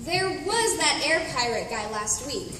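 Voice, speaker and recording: A woman's voice: sits high, sing-song, measured pace, bright, forceful tone, heavily acted. A woman playing a wry, skeptical companion in comedic radio theatre. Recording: echoey hall, distant mic, faint noise.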